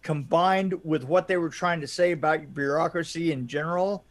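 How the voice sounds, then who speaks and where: A man's voice: on the high side, normal speed. A man co-hosting a film podcast in casual chat, emphatic and jokey, often repeating himself. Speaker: podcast co-host reviewing films.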